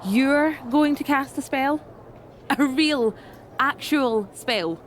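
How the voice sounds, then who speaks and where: A woman's voice: high-pitched, slow, a little hiss or hum. A woman voicing a scornful, exasperated coven leader in a wrestling video game, with a sardonic, scolding manner. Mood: incredulous. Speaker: voice actor in a video game cutscene.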